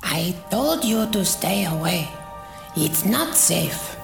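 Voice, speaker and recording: A woman playing a small, mysterious, childlike being in an audio drama, breathy, timid and halting. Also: on the low side, sing-song, full character voice. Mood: eerie warning. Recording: echoing, with music behind. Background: music.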